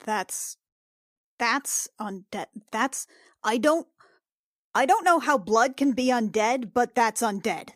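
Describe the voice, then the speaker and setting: A woman playing a tabletop fantasy game, improvising in character in a halting way and often repeating herself. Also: fairly high pitch, expressive, dry recording, studio quality.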